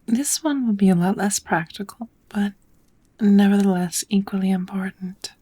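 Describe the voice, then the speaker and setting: A woman playing a kind, patient witch teacher in an ASMR roleplay, speaking gently in measured, encouraging phrases that often slip into whispers. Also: medium pitch, natural voice. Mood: instructive, encouraging. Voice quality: soft, breathy. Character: kind, patient witch mentoring a dear apprentice. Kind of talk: ASMR roleplay, second-person scripted teaching.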